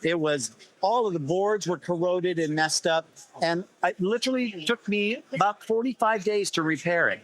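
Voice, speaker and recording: A man with a slightly hoarse voice, explaining his vintage repair work conversationally in an interview, often restarting phrases. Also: sits high, normal speed, a bit bright, poor audio. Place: busy festival exhibit table.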